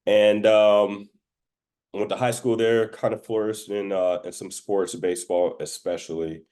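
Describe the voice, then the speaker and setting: Male podcast guest, low voice, from the rural American South, relaxed informal storytelling. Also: measured pace. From southern United States, rural Georgia and Florida Panhandle. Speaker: interview guest, actor. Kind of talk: casual conversational storytelling.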